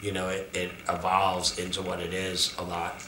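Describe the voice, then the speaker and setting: A man with a deep, gravelly voice, answering interview questions in a casual, rambling way from a couch backstage. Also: monotone, moderate pace, roomy sound, background noise. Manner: rambling, thoughtful, many restarts. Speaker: band member. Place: backstage green room, on a couch.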